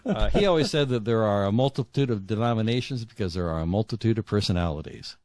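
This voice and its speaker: A middle-aged man answering as a guest author in a conversational interview, earnest and halting, often repeating words as he thinks. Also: on the low side, lively intonation, a little mellow, no echo.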